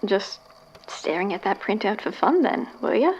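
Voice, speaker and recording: A woman's voice: medium pitch, sing-song, moderate pace, slightly rough. A woman playing a friendly, teasing colleague in casual audio drama dialogue. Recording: muffled, noisy, a bit distant.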